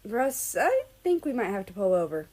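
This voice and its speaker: A woman voicing a playful, excitable young man on a road trip in a story reading, casual and a little bashful. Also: medium pitch, moderate pace. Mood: tired, practical.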